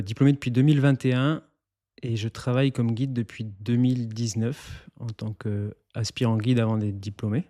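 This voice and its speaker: A mountain guide from eastern France, answering interview questions in a calm, explanatory way with some hesitations. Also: male voice, medium pitch. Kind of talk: interview guest.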